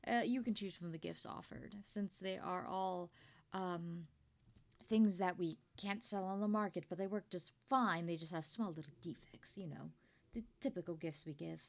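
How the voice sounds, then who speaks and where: A woman playing a kindly, proud older company founder in an ASMR roleplay, chatty and doting, with a creaky voice. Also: lowish voice, moderate pace, lightly acted. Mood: pleased, businesslike. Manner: gentle, chatty, doting, with throat-clearing and rambling asides. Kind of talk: ASMR roleplay monologue to the listener.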